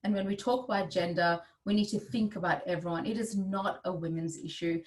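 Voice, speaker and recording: Female conference speaker, lowish pitch, emphatic explainer, recorded off-mic. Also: steady tone, fairly quick. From India. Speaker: advisor presenting at a summit. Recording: distant microphone.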